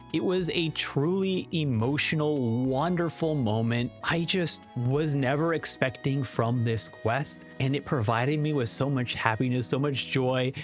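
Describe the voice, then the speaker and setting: A man giving enthusiastic video game review commentary in an effusive, emphatic manner. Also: mid-range voice.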